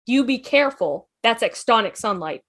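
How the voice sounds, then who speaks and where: A woman's voice: fairly high pitch, animated, normal speed, fairly bright tone. A woman playing a breezy, eager, cheerful traveller in a fan table read. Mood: breezy, teasing. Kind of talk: fan table read over video call.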